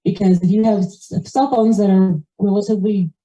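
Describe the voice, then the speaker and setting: Very dark-sounding woman commenting informally. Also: mid-range voice, steady tone, brisk. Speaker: community member commenting. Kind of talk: informal comment at a community meeting. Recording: muffled, dull meeting audio.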